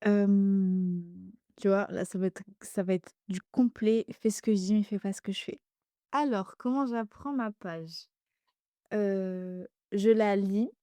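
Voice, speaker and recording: Young woman, arabic dialect native, halting chatty talk, dry close sound. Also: mid-range voice, no echo. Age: young adult. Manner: hesitant, many fillers and restarts, animated. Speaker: podcast guest. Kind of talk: casual conversation.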